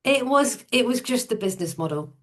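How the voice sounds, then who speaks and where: A woman's voice: on the high side, expressive, a little fast. A woman guest on a podcast, telling her own story in a casual, matter-of-fact, informal way.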